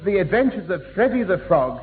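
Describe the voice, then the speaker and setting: Fussy, giggly, high-voiced silly gentleman. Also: very animated, fairly quick. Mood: smug. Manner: giggly, prim, bumbling. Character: fussy, giggly, well-spoken silly man, easily flustered. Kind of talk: 1960s radio sitcom acting. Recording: old tinny 1960s radio broadcast, hall, noisy.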